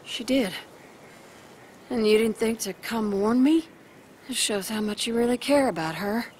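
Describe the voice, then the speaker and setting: A woman's voice: fairly high pitch, unhurried, a little mellow, a little hiss or hum, lightly acted. Worn-down, prickly mother, played by an actress. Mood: indignant. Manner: guarded, curt. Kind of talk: dramatic video game acting.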